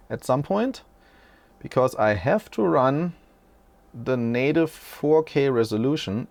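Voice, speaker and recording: A man in his forties talks through a tech setup at an unhurried pace, his voice gravelly with a touch of fry. He rambles in a chatty way and often stops to correct himself. He sounds like he is sitting at a desk in a small room at home, a short way from the mic. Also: medium pitch, a little mellow, no echo. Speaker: tech channel host. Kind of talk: unscripted casual tech walkthrough.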